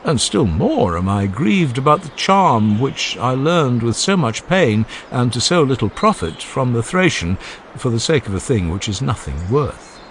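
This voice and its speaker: A man narrating a dramatized classical dialogue in a formal, measured and expressive oratorical manner. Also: medium pitch, slight background noise. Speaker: audiobook narrator. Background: ambient sound effects.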